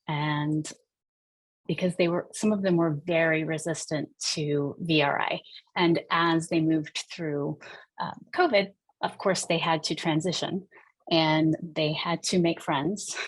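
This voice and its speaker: A woman with a slightly low voice talks as an expert guest in a podcast interview. She explains her research conversationally, pausing and rephrasing as she thinks aloud. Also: rough recording. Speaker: podcast guest, researcher in deaf healthcare access.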